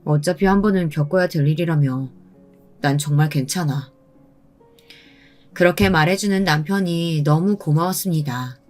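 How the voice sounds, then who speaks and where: Calm woman narrating a story over soft music. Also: fairly low pitch. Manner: calm and pointed, recounting with indignation. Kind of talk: scripted first-person story narration. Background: soft background music.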